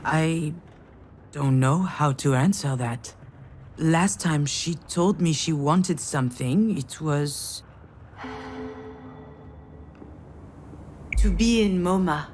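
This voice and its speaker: A woman's voice: low-pitched, takes it slowly, a little mellow, a little hiss or hum. An actress playing an overwhelmed, sardonic woman in her thirties in a narrative game, hesitant and naturalistic. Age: 30s. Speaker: protagonist of a visual novel. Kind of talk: naturalistic dramatic game voice acting, dialogue and inner monologue.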